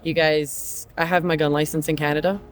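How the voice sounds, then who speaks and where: A man from Croatia who drives trucks, answering interview questions earnestly with frequent pauses. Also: a little high, level tone.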